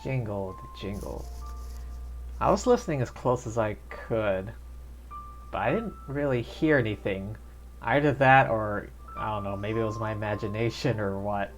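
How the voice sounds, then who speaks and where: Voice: medium pitch, normal speed, soft background music. A streamer chatting casually and thinking aloud while playing a game live. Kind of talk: casual live gameplay commentary.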